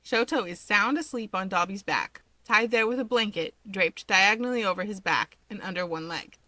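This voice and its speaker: A woman's voice: medium pitch, moderate pace, sharp tone, no echo. A woman narrating a piece of fiction in a scripted storytelling style.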